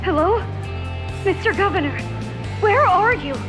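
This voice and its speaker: A woman's voice: very high-pitched, lively intonation, unhurried, music in the background. An actress voicing a worried young female aide in a video game cutscene.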